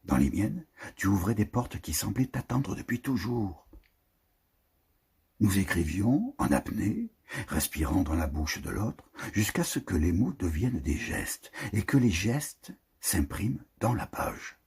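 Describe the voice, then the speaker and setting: A very husky, breathy man reads literary prose poetry. His delivery is slow and solemn, with deliberate phrasing and a pitch that swings widely as he declaims. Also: very animated, darkish tone. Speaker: reader of a prose poem.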